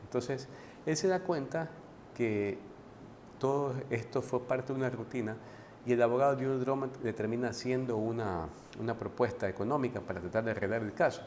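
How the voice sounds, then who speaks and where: A man giving a casual, conversational book commentary to his viewers, often pausing to restart and adding tag questions. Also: medium pitch, darkish tone, slight background noise. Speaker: book reviewer.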